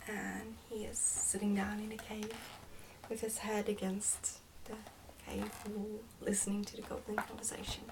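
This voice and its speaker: A woman reading a children's story aloud in ASMR style, soft-spoken and sometimes near a whisper. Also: mid-range voice, completely flat delivery, moderate pace, distant mic, noisy background. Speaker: ASMR book reader.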